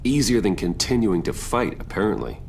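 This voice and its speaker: Brooding male vigilante, video game drama. Deep register, stubborn, guarded, confrontational, short clipped lines. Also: very animated, fairly quick, vocal fry, darkish tone, a little hiss or hum. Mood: defensive, bitter.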